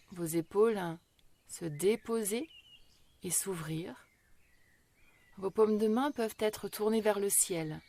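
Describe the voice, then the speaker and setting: Female meditation guide, mid-pitched; calm, unhurried, soothing delivery with generous pauses between phrases. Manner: slow, measured delivery with long pauses. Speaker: yoga nidra meditation guide.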